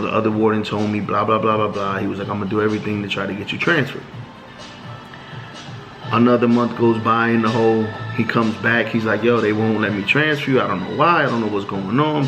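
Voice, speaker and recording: A man's voice: fairly low pitch, steady tone, fast, poor audio. Laid-back New man telling stories. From New York. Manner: laid-back street slang, acts out quoted dialogue. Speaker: channel host telling prison stories. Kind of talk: casual storytelling monologue. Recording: music playing under the voice.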